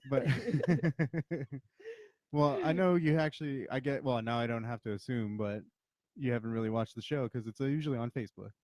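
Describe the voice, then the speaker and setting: A man's voice: medium pitch, a little mellow, no echo. A man co-hosting a podcast, chatting casually, joking and often restarting his sentences. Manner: joking, stumbles and restarts, quick laughs. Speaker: podcast co-host. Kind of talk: casual chat. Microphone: handheld mic.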